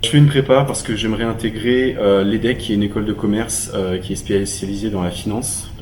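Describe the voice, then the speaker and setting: Young male student, 19, earnest, lowish voice, room echo, mic set back. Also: steady tone, quick pace, darkish tone, a little hiss or hum. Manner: earnest, with frequent "euh" fillers. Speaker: prep school student. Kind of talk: interview answers. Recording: roomy sound from a distant mic.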